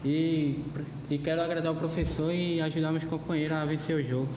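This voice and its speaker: A man's voice: on the high side, little pitch movement, a little fast, a little mellow, a little hiss or hum. Young Brazilian man in an echoey room. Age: young adult. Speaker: footballer. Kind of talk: press conference answer. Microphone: table press microphones. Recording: echoey room, music behind.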